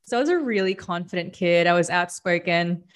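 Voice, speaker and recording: A young woman from the Philippines, a podcast guest, telling her own story in a relaxed, reflective way with many fillers. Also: on the low side, fairly quick. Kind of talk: casual interview storytelling.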